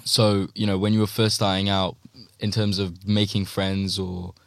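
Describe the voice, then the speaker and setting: A man hosting a podcast, asking questions in a halting way with many ums and trailing phrases. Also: low-pitched, flat delivery, creaky, a bit bright, no echo, studio quality. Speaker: podcast host. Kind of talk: casual interview questions.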